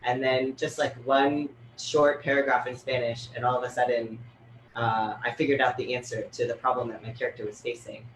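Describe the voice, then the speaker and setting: A man's voice: mid-range voice, little pitch movement, fairly quick, reverberant, a little hiss or hum, poor audio. A man talking over a video call in a thoughtful, loose way, with many fillers. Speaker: writer and fellow in a panel conversation. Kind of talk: reflective casual conversation.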